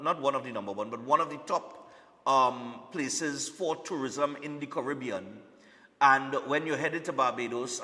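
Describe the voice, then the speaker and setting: A man's voice: mid-range voice, sharp tone, in a large hall. A man hosting a live morning TV show, chatty and repetitive, often checking in with "all right?".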